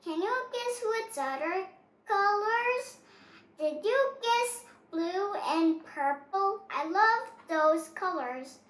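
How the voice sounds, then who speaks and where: Child storybook reader, very high voice, halting delivery, roomy echo. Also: expressive, fairly bright tone. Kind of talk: read-aloud of a picture storybook. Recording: roomy, echoing sound.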